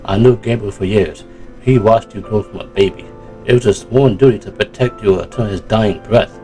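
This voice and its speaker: An actor playing a loyal, seasoned warrior advisor in a fantasy fandub, speaking gravely, steadily and earnestly. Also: male voice, lowish voice, moderate pace, mellow, soft background music, natural voice. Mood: solemn pride. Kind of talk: fantasy audio drama fandub acting.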